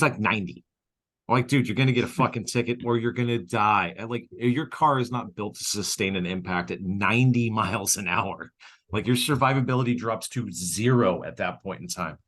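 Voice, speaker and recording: A man co-hosting a podcast in casual comedic chat, blunt, profane and joking, acting out bits of dialogue as he tells stories. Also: fairly low pitch. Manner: animated storytelling with acted-out dialogue. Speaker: podcast co-host.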